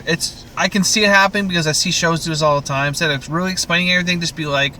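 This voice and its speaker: A man's voice: fairly high pitch, fairly even intonation, rapid-fire, bright, forceful tone, slight background noise. A man from Canada co-hosting a podcast, chatting casually about TV in an exasperated, sweary, ranting way. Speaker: podcast co-host. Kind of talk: casual TV recap chat.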